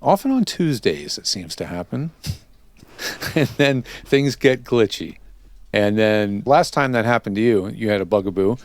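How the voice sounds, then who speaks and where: Low-voiced man hosting a casual interview. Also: lively intonation, unhurried, no echo. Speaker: podcast host and audio tech interviewer.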